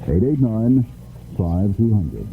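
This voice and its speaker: Lively male ad announcer, tinny radio. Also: on the low side, takes it slowly. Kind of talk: scripted 1970s local radio ad read. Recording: tinny old radio with faint noise.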